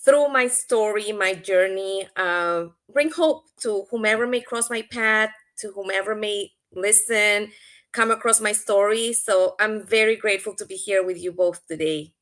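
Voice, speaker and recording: A woman in her forties from Colombia, a guest on an interview podcast, speaking in an earnest, grateful, measured way. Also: medium pitch, a bit bright. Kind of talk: interview answers.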